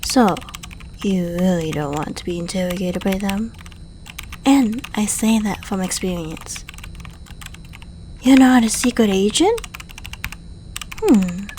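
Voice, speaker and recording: Woman acting a slow-talking, suave female secret agent. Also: a little high, dark tone, slight background noise. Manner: playful, polite, coaxing, unhurried. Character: suave, teasing female secret agent, flirtatious and coolly menacing. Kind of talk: scripted ASMR roleplay, addressing the listener.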